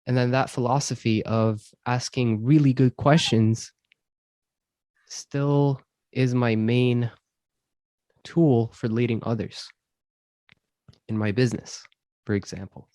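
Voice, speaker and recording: A man from Syria, host of a self-improvement podcast, answering interview questions in a thoughtful, measured way with frequent ums. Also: medium pitch, animated, a little mellow, no room sound. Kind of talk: reflective conversational interview.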